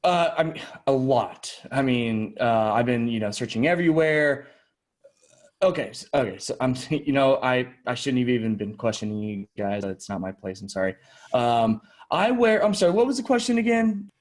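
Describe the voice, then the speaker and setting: A man's voice: medium pitch, animated, normal speed, lightly acted. Man acting as nervous job candidate, eager, polite, stammering, improvised comedy sketch. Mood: flustered, apologetic.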